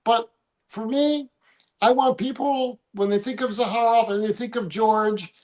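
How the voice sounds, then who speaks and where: A man's voice: extremely high pitch, steady tone, a little slow, poor audio. A man from the United States co-hosting a casual livestream, rambling, trailing off and restarting his sentences. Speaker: livestream co-host.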